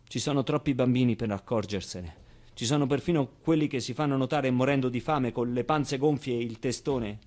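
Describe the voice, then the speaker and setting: A streetwise orphan boy, played by a man tells his story as a theatrical monologue in a mid-pitched voice. He is naive, wistful and crude, speaking expressively with halting repetitions and pauses, moving between hushed and excited delivery. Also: lightly acted. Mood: frank, bitter.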